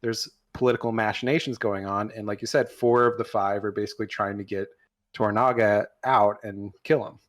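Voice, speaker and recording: A man's voice: lowish voice, steady tone, fairly quick. A man co-hosting a podcast, explaining plot and history at length with lots of fillers. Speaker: podcast co-host. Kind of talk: casual chat.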